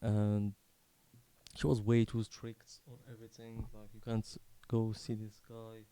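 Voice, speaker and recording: A man in his twenties from Morocco tells a personal story unscripted, in a halting, candid, casually sweary way. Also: fairly low pitch, monotone, dark tone, clear, resonant tone, dry recording. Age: 20s. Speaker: video creator telling his life story.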